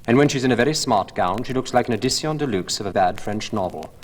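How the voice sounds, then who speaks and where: A man's voice: medium pitch, animated, fast talker, pitched above the performer's own voice, natural voice. Guy doing a teasing upper-class gent, arch and languid, storybook record w long reverb. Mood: arch, probing. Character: witty, teasing, languid aristocratic gentleman, Lord Henry. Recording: old LP, long reverb, faint noise.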